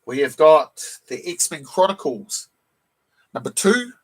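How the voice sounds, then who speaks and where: A man hosting a casual live stream, croaky from a cold, who keeps clearing his throat and greets viewers in the chat one by one. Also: a little high, very animated, takes it slowly, rough recording. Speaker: live stream host showing comic books.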